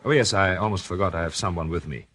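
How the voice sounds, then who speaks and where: An actor in a 1940s radio drama playing an educated, scheming doctor and unhappy husband, with polite, hesitant, formal diction. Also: male voice, deep voice, quick pace, fairly bright tone. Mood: uneasy, placating. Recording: old radio recording with music.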